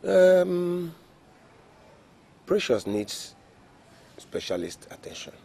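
A man playing a deferential visitor in a film drama, speaking in a measured, polite and slightly hesitant way. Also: high voice, very animated, creaky, mellow, a little hiss or hum, heavily acted. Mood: concerned, polite. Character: deferential visitor bringing hospital news to a chief.

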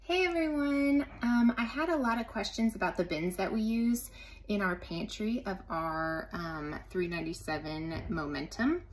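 A woman in her thirties talking casually to the camera inside an RV kitchen, relaxed and pausing on frequent ums, filmed on a phone at arm's length. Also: mid-range voice. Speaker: RV lifestyle vlogger.

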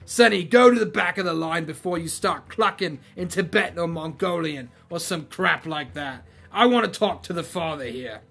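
A brash, gruff gang leader from an adventure story, acted by a man reading aloud. He is blunt and impatient, sneering as he orders people about. Music plays behind the voice. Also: a little high, normal speed, hardly any pauses, fairly bright tone, full character voice. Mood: bossy.

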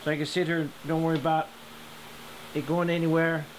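A middle-aged man giving a casual tutorial from his home metal workshop, plain-spoken and relaxed, with ums and restarts. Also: a little high, fairly quick, slight background noise, low-quality recording. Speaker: hobby welder teaching a project.

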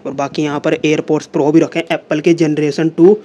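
A young man talks straight into a phone held close, chatting casually as a vlogger and leaning on the same filler words again and again. His voice sits a little high but stays flat and mellow. A faint hiss of the room runs under it. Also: moderate pace. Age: young adult. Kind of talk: casual vlog talk to camera. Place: small room indoors, then a seller's home.

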